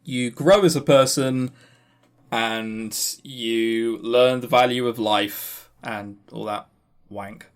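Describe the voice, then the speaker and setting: A man's voice: mid-range voice, steady tone, slow, clear, resonant tone. A man co-hosting a podcast, giving casual, opinionated game reviews in a blunt, sweary, scornful way with stammered emphasis. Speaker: podcast co-host.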